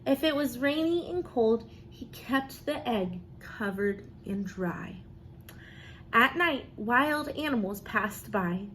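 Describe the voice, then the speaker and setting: A woman teacher reading a picture book aloud expressively, pausing to encourage young listeners with questions and praise. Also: a little high, expressive, fairly bright tone, a little hiss or hum, rough recording.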